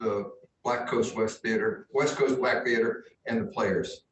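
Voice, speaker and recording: Male audience questioner, hesitant phrasing, far from the mic with clear room echo. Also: fairly even intonation, a little slow. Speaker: audience member asking a question. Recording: echoey, distant mic, faint rumbling noise.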